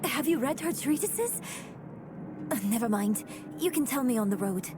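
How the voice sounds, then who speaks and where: A woman's voice: high-pitched, animated, moderate pace, background noise. A woman playing an earnest, bookish and determined young scholar in a video game, speaking eagerly and insistently. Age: young adult. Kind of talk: dramatic video game dialogue.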